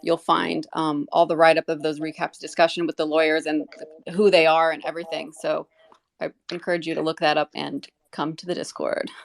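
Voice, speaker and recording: A woman giving unscripted explanations in an online discussion, choosing her words in a careful, halting way. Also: deep voice, level tone, creaky. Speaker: community representative being interviewed. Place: live online audio room.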